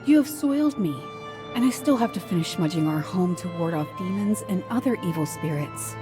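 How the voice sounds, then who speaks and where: A woman voicing a wry, good-humoured village mother with a gently scolding manner in a video game. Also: on the low side, expressive, fairly quick, over music, close to an everyday voice. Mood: mock annoyance.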